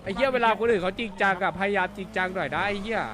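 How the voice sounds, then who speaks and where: A man barking orders in a game roleplay, in a high, rapid-fire voice full of curses. He scolds and bosses the gang around without pause. Other voices crowd in behind him. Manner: shouting, swearing, bossy.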